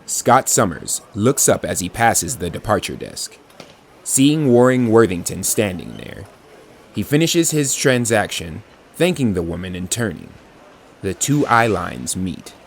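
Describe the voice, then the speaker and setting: A man's voice: lowish voice, sing-song, unhurried, vocal fry, a little hiss or hum, full character voice. A man narrating a superhero audio drama as a dramatic scripted storyteller.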